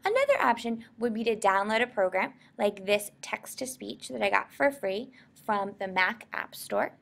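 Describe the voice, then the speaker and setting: An American woman narrating a software tutorial in an informal, explanatory way. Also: medium pitch, sing-song, moderate pace, vocal fry. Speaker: software instructor.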